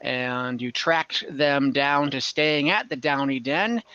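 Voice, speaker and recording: Guy game mastering online, casual and halting, bright higher voice, dull lo-fi call audio. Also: clean tone, no room sound. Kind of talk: casual tabletop game narration. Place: online call, dry close room.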